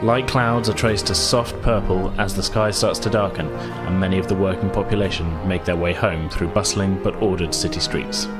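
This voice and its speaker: A man's voice: low voice, steady tone, moderate pace, hardly any pauses. A man narrating a tabletop roleplaying game as game master, descriptive with some hesitation. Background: loud music.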